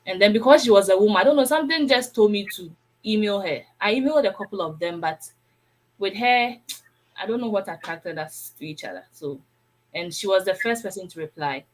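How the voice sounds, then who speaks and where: A young Ghanaian woman speaks as a student guest in a casual conversation. She tells her story informally and presses her points by repeating them, in a mid-pitched voice. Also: expressive, fairly quick, low-quality recording. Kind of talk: casual chat recounting personal experience.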